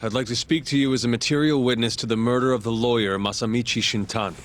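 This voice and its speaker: A man's voice: mid-range voice, steady tone, fast talker, slight background noise, natural voice. A man playing a hard-nosed police detective in a video game drama, curt, contemptuous and menacing. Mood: official, curt. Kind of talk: English-dubbed video game drama acting.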